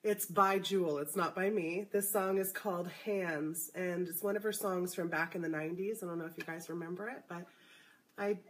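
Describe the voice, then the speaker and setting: A woman hosting a casual spiritual livestream, a little congested, talking in a soft, hesitant, slightly nervous way. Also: lowish voice, fairly even intonation, normal speed. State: congested, voice deeper than normal. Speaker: livestream host.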